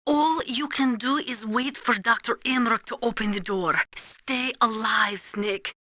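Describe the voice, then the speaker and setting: A serious female weapons analyst from a video game. She is precise and matter-of-fact, slightly high and bright, in a dry studio sound. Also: a little slow, no echo. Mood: urgent, concerned.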